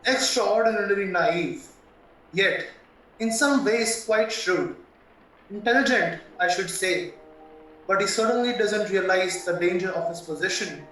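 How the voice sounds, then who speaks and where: An actor as a shrewd, worldly barrister in a radio drama. He speaks bluntly in a high, bright male voice, a little distant in a very echoey room. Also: unhurried, soft background music. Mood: sceptical. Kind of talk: stage-style radio play acting.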